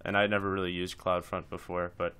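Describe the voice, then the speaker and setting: Man, low pitch, clean resonant voice, informal screencast tutorial, step-by-step instruction. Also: little pitch movement, a little fast, pushed and bright, smooth. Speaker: software tutorial presenter.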